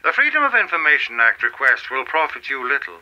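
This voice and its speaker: A man playing a mysterious, coldly formal messenger in a radio play, speaking in a measured, cryptic way. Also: medium pitch, huge pitch swings, pushed and bright, lo-fi sound, exaggerated voice. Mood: stern, warning.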